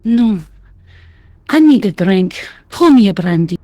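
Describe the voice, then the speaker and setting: Blunt, weary Frenchwoman played by a woman, mellow voice. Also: medium pitch, expressive, measured pace, no room sound, close to an everyday voice. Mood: grumpy, thirsty. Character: blunt, groggy French café waitress who likes a drink. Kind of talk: adventure game dialogue.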